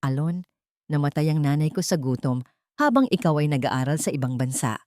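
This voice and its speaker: A woman's voice: low-pitched, lively intonation, fairly quick, a little mellow, no echo. A woman voicing a bitter, vengeful man, a cold and taunting villain in an audio drama. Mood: bitter anger. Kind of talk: melodramatic audio drama acting.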